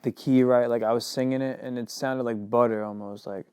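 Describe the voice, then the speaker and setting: A man's voice: medium pitch, steady tone, brisk, darkish tone, clean tone, dry recording. A young man, a rapper and singer, talking casually in an interview, rambling and filling his sentences with "like". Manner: loose and rambling, heavy on "like".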